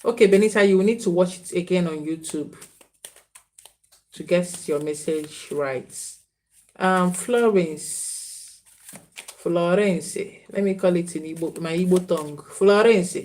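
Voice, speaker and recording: A woman's voice: lowish voice, a little slow, dark tone. Woman giving live spiritual readings. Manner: earnest, scolding viewers, repeats names and phrases. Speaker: spiritual reader. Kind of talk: live-stream reading to viewers.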